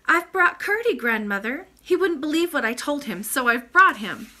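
An earnest little princess from a storybook, as acted by a woman reader. Her high voice swoops with feeling and rings out brightly. She pleads and exclaims indignantly. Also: big pitch swings, moderate pace, heavily acted. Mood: eager. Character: emotional, earnest young princess, a little girl.

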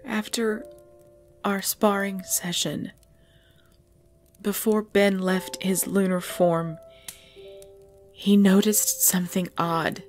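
A woman acting a tender, regal female vampire lover in an intimate ASMR roleplay, speaking softly and hesitantly with breathy whispers. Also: medium pitch, flat delivery, takes it slowly, long pauses, natural voice. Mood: scared, hesitant. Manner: soft, hesitant, often dropping to breathy whispers. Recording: music bed under the voice.

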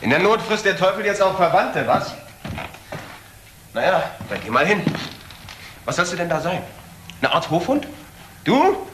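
A man's voice: high-pitched, sing-song, unhurried, long pauses, a bit bright, full character voice. An actor playing a cynical, scornful young man in a crime drama, curt and sarcastic. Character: cynical, scornful nephew of a drinker. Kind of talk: German crime drama acting. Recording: roomy, noisy drama soundtrack.

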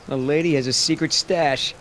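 Wry, wisecracking young mercenary. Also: male voice, on the high side, a bit bright, slight background noise. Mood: curious, musing. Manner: wry, muttering to himself. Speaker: game character, mercenary. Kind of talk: naturalistic video game acting.

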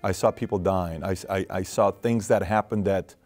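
A man's voice: deep voice, moderate pace, soft background music. A man in his thirties from Iran, hosting a studio interview, talking earnestly with lots of fillers and gestures. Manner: animated, earnest, with many fillers and hand gestures. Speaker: host. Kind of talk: interview and show intro. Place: studio set with wood-panel wall.

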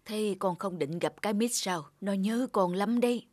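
A woman's voice: mid-range voice, normal speed, no room sound, natural voice. Woman acting a caring, worried old cartoon grandmother. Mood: worried, chiding. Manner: gently scolding, coaxing, sighing.

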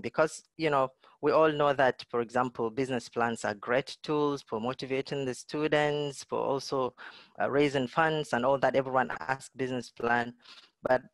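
Middle-aged guy lecturing on a video call, mid pitch, dry close sound, lots of "you know". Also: no room sound. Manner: thoughtful, frequent "you know" and repeated words. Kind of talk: online lecture with slides. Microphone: video call webcam.

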